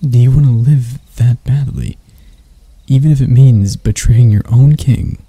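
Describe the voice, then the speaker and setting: A man playing a proud elf prince in a roleplay ASMR, speaking in a measured, haughty and intimate way to the listener. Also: medium pitch, mellow, slightly rough, dry recording. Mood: menacing, scornful. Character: proud elf prince, stern captor softening into bashfulness.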